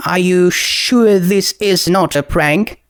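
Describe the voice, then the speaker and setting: A man's voice: high voice, slow, clean tone, pitched above the performer's own voice. A man voicing a sceptical young female pony princess who speaks hesitantly and with awkward politeness. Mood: sceptical, weary.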